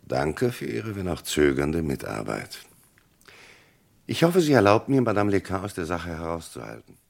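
An actor playing a gruff, probing police commissioner in a German radio crime drama, with a gravelly, husky voice and an insistent, commanding manner. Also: male voice, deep voice, sing-song, normal speed, exaggerated voice. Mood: measured.

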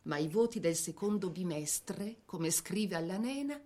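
A woman reading a scripted biographical narration for a dramatic piece, with measured, theatrical phrasing. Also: on the low side, animated, warm tone.

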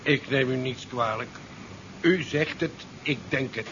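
An actor playing a stern, dutiful ship's commander in a 1970s science fiction radio drama, measured, dry and authoritative. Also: male voice, mid-range voice, natural voice. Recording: muffled, noisy 1970s radio recording.

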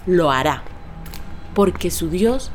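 A woman's voice: on the low side, expressive, takes it slowly, faint music behind. A woman giving spoken documentary testimony in a scripted style. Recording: noticeable urban background noise.

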